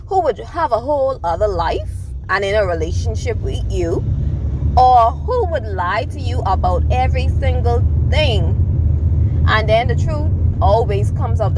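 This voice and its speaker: A young woman with a Bahamian accent talks at an ordinary pitch, repeating her points with emphasis, like a preacher. She records on her phone while riding on the road. Loud car and traffic noise runs under her voice the whole time. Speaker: vlogger. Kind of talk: casual advice monologue. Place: in a vehicle on the road.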